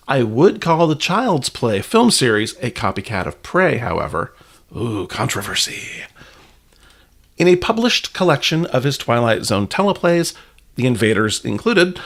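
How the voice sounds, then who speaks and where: Male podcast host: medium pitch, highly animated, wry, scripted commentary with casual asides.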